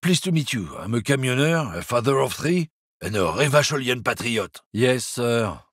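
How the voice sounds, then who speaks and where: A husky, crude middle-aged lorry driver struts through his lines with a lively, swooping pitch. He is boastful and sneering, full of bigoted swagger. The game recording is dry and close. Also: normal speed, dry recording. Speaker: video game character.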